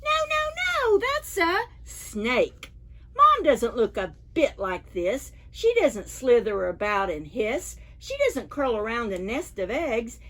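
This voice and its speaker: A woman's voice: fairly high pitch, sing-song, slow, fairly bright tone, heavily acted. A woman voicing an anxious, indignant little monkey child in a picture-book read-aloud for preschoolers. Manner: indignant, emphatic protests.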